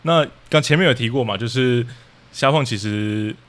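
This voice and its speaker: A man's voice: medium pitch, normal speed. A man with a Taiwanese accent speaking as a podcast guest, casually explaining his creative work step by step. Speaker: podcast guest, audio drama troupe leader. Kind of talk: casual explanatory interview talk.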